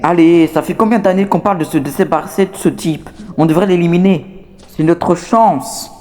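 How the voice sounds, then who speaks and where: A man's voice: on the high side, moderate pace, darkish tone, lightly acted. A man voicing a scrappy, talkative toy outcast, wary but scheming, in a fan dub of a horror game. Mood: conspiratorial. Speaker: amateur voice actor dubbing a game character.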